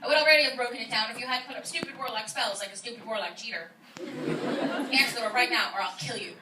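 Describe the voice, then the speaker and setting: A woman's voice: a little high, fast talker, lightly acted. A woman acting a furious, threatening young woman at a door in a comic reading. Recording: roomy, distant old iPod recording, faint noise.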